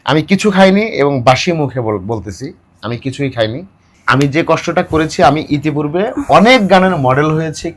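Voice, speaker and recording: A middle-aged man talks in a casual, unscripted way. His voice sits at an ordinary male pitch. He is emphatic, often repeating phrases to drive them home. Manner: emphatic, repeats himself for stress. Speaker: music video model and performer. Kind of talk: casual behind-the-scenes chat.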